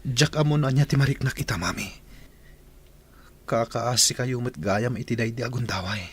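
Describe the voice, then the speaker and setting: A man's voice: medium pitch, normal speed, slightly rough. A man playing an earnest, respectful grown son in a radio drama, speaking in a heartfelt way. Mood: moved, earnest.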